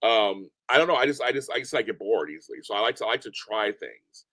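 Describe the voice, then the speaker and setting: A deep-voiced man chatting as a podcast guest, animated and rambling, often repeating himself. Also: quick pace, no room sound. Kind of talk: casual interview chat. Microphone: remote call from home.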